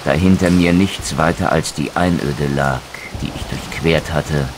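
A man's voice: very deep voice, unhurried, noisy background. A man playing a brooding, proud undead wraith in a video game, speaking eloquently and theatrically with sardonic bitterness. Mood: brooding, grave. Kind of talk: dramatic game dialogue and scripted narration.

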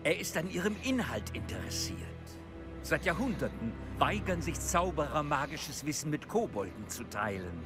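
Give me a grave, proud old goblin lord for a game, curt and distrustful, his pitch rising and falling with feeling. Game music sits clearly behind him. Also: big pitch swings. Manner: stern, measured, curt. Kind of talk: German game dub.

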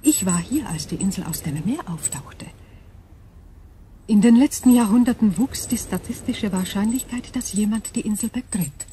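Sci-fi audio drama role: actress as ancient female-voiced crystal being, measured and formal, dark gentle timbre, faint noise behind. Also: mid-range voice, animated, lightly acted. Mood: detached, explanatory. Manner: measured, formal, precise diction. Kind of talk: German radio drama acting.

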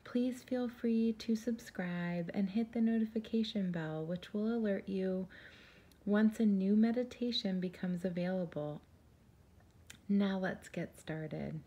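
A woman leading a guided meditation in a soft, soothing, gentle and unhurried voice, breathy at times. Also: medium pitch, highs cut off.

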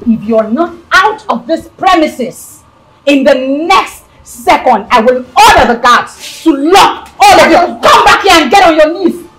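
A woman's voice: sits high, animated, measured pace, a bit bright, poor audio. A woman with a Nigerian accent playing a haughty, spiteful young princess in a screen drama, scolding and ordering people about with contempt. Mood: commanding, enraged.

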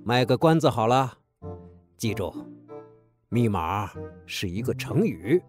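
An actor playing a teasing, chuckling old wind god in a children's audio drama. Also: male voice, mid-range voice, sing-song, slow, over music. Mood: teasing, mysterious.

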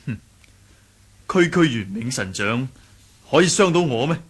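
A man playing an earnest, righteous young martial arts hero in a radio drama, emphatic and husky, with a Hong Kong Cantonese accent. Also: mid-range voice, lively intonation, unhurried. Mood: defiant, impatient.

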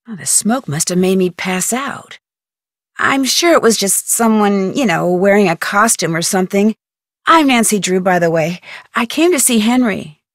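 An actress voicing a polite young woman detective in a video game, hesitant and unhurried. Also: medium pitch, no room sound. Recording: dry studio voice-over.

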